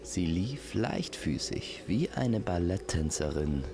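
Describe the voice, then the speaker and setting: Very deep, slow-speaking male storyteller. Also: expressive, noisy background. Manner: slow, gentle, poetic delivery. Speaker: storyteller, narrator. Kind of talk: immersive scripted campfire storytelling addressed to the listener. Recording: constant night insect and nature ambience. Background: crickets and forest night sounds.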